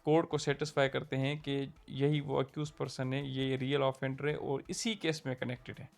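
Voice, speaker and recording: A man's voice: medium pitch, level tone, moderate pace, vocal fry, faint music behind. A young man with a Pakistani Urdu accent explains a point of law in a casual, conversational lesson, often checking in with his listeners. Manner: conversational, checks in with "theek hai". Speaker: law lecturer.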